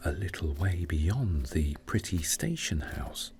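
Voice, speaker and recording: A man narrating a scripted sleep meditation story in a soothing, gentle, unhurried way, with breathy phrase endings. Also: low voice, very animated, slight background noise.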